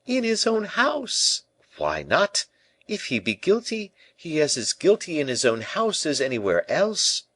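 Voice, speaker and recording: A young king as a man reads him with a proud, fiery temper. The voice leaps up in pitch and swings theatrically, imperious and haughty, in a dry audiobook sound. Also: huge pitch swings, a little slow, a bit bright, slightly rough, no room sound, full character voice. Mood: imperious anger.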